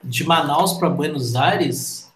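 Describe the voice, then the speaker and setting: A man's voice: a little high, slow pace. Jokey man hosting an interview. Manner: jokey, self-deprecating, easily amused. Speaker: interview host. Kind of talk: casual interview chat. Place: remote call. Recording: roomy with faint background noise.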